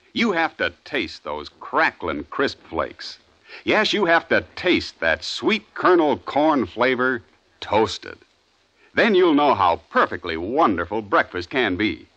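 Bright, very animated vintage American radio announcer. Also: male voice, on the low side. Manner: polished, emphatic salesmanship. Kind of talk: 1950s radio announcing and sponsor pitch. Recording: dull old radio broadcast recording.

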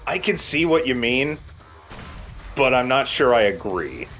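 A man's voice: medium pitch, sing-song, unhurried, fairly bright tone, soft background music. A man giving casual commentary as he plays and hosts a video game let's play. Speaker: gaming let's play host, player.

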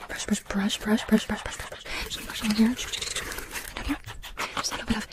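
A woman's voice: mid-range voice, fairly even intonation, brisk, background noise. A woman doing ASMR personal attention role-play, repeating words and giving short instructions. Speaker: ASMR performer.

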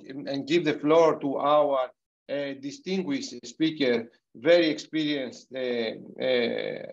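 A middle-aged man with a non-native English accent hosts an online webinar, introducing a guest with many fillers and restarts over a video call. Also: mid-range voice. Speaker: host of an online university webinar. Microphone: computer mic on a video call. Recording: dull, muffled video call audio.

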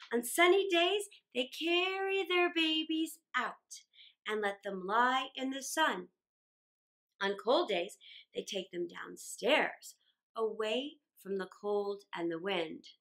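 A woman voicing a kindly, wise father in a storybook, patient and gently teasing as he explains. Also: high voice, lively intonation, slow pace, heavily acted. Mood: explaining. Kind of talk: storybook character voice.

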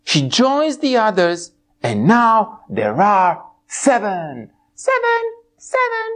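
A man in his forties from Romania reading a children's storybook aloud as an expressive host, drawing out his words. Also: high-pitched, slow pace, slight background noise. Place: small room indoors.

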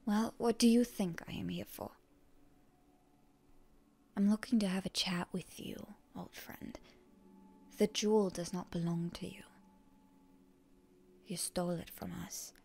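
A proud young heroine, acted by a woman, defiant toward her enemy in an intimate roleplay ASMR. Her voice is breathy and husky, often dropping toward a whisper. She takes her time over her words, full of stubborn, indignant bluster. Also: on the low side, steady tone, darkish tone. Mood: stubborn, earnest. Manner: indignant, halting, tsundere bluster.

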